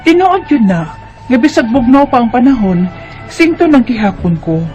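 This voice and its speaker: A woman's voice: a little high, sing-song, normal speed, darkish tone, muffled recording, faint music behind. A woman with a Cebuano Bisaya accent playing a tired, worried teenage schoolgirl who complains and questions in a radio drama. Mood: puzzled, troubled. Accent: Cebuano Bisaya Filipino.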